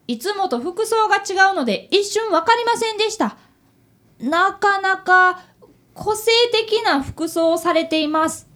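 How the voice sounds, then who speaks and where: Woman voicing a very high-pitched, earnest young boy. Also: a bit bright. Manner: polite, eager, earnest. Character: polite, earnest fifth-grade boy, apprentice detective. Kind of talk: radio drama acting with first-person narration.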